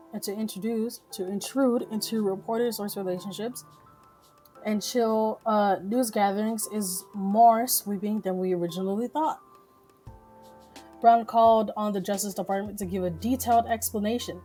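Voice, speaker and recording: A just-woken woman hosting a live news stream from home, reading articles haltingly, stumbling and swearing as she comments. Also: mid-range voice, mellow. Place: home, relatives talking downstairs.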